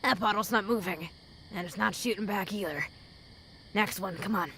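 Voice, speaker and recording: An actress plays a battle-hardened woman of the wasteland with heavy gravel in her voice. She is blunt, sardonic and quick to anger, giving orders like a tough mentor in a post-apocalyptic audio drama. There is a little noise behind her. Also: medium pitch, fairly bright tone, lightly acted, poor audio. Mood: instructing.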